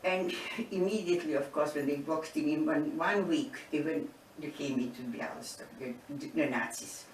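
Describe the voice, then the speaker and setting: A woman, from eastern Poland, giving oral history testimony in an unhurried storytelling way, often restarting her phrases. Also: sits low. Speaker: Holocaust survivor interviewee. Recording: roomy, distant mic, background noise.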